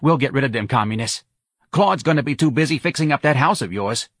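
A man voicing a loud, bossy older woman with a New Orleans accent, brash and pushy in an audiobook story. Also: medium pitch, animated, a little fast, slightly rough, dry recording, clean studio sound, voice pushed up. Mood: eager, bossy.

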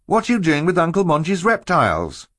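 A man's voice: fairly high pitch, huge pitch swings, fairly quick, fairly bright tone, no room sound, studio quality, pitched above the performer's own voice, exaggerated voice. A man voicing an earnest, anxious young boy in a storybook. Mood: questioning.

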